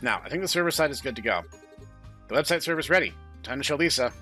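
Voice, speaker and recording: Bright, animated man reading game dialogue. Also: a little high, fast talker, faint music behind. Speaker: gaming channel host. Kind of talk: reading visual novel dialogue aloud, playthrough commentary.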